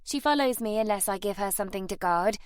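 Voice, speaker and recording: Woman acting a poised, determined young noblewoman, slightly raised pitch. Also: fast talker, clear, resonant tone, no echo, clean studio sound, lightly acted. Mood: calm. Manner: poised, earnest, insistent. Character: dignified, calm, determined young aristocratic lady.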